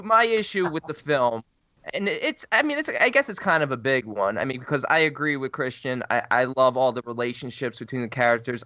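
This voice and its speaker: Podcast co-host, male, bright lively voice, dry close sound, quick to laugh. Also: mid-range voice, no echo, highs cut off. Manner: laughs often, lively banter. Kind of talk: casual chat.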